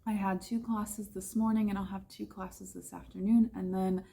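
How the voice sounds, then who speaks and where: A woman's voice: mid-range voice, flat delivery, normal speed, gravelly, dark tone, slightly rough. An American woman who teaches English, chatting casually about her life abroad and often correcting herself with fillers. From United States. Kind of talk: casual talking-head vlog.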